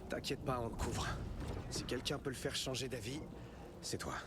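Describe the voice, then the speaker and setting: An actor playing a practical young fantasy hero and team leader in a video game, speaking directly and decisively. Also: male voice, medium pitch, normal speed, heavy background noise, close to an everyday voice. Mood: reassuring. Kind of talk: dramatic video game voice acting.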